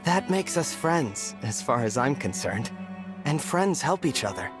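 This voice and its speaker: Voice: medium pitch, big pitch swings, unhurried, music in the background. An actor voicing an earnest, kind young dragon royal in a video game cutscene. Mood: gentle, caring.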